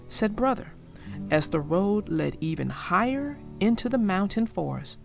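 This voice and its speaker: A woman narrating a children's storybook in an expressive, deliberate read-aloud style. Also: mid-range voice, vocal fry. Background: music.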